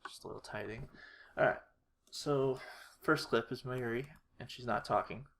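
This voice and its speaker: Halting, creaky-voiced tutorial creator, noisy recording. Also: medium pitch, a bit bright. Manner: halting, muttering to self while working. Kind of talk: informal screen-recorded editing walkthrough. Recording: noisy home recording, keyboard and clicks. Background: mouse clicks and keyboard typing.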